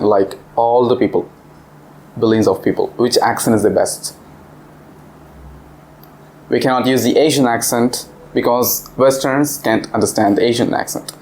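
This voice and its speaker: A man's voice: medium pitch, darkish tone, poor audio. A man leading a discussion with an English speaking club, asking the students questions. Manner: asks the class questions. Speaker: visiting English teacher. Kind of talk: classroom discussion.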